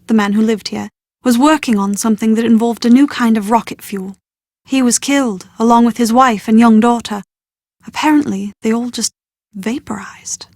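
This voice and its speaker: A woman's voice: a little high, a little mellow, no room sound, studio quality. A woman playing an anxious, well-bred young British bride-to-be in a video game, speaking in an articulate, earnest and slightly dramatic way. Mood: wistful, storytelling. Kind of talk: scripted video game voice acting.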